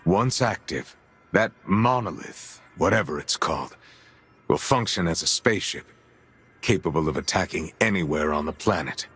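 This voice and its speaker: An actor playing a grave commander giving a formal, measured mission briefing in a video game. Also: male voice, low-pitched, animated, a bit bright, husky, soft background music, full character voice. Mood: grave, resolute.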